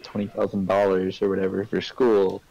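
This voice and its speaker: A man's voice: sits low, completely flat delivery, moderate pace, creaky, dark tone, muffled recording. A young man in casual conversation on a stream, talking things through with friends. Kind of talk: casual chat.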